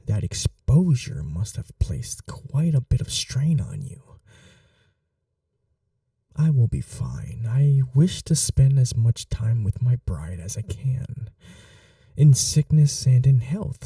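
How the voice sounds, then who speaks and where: Man playing a slow, dark-voiced scheming prince. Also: medium pitch, creaky, no room sound, studio quality, recorded from a distance, full character voice. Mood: tender, soothing. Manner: formal, measured, hushed, imperious. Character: scheming young prince, commanding yet tender to his bride. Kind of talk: dramatic ASMR audio roleplay.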